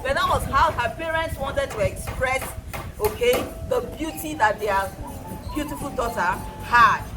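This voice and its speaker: A Nigerian woman tells a folktale for children over clearly audible music. Her voice sits high, and she performs theatrically, repeating phrases and drawing listeners in. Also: animated, unhurried, crowd noise. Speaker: storyteller and host of a folktale show for children. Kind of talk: interactive children's storytelling.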